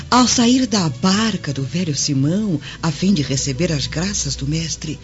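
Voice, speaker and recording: A devout, tender servant woman in a radio drama. She declaims very slowly and melodically, on a dull recording with soft music. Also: medium pitch. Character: devout female servant, tender, reverent storyteller. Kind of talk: Brazilian radio drama acting.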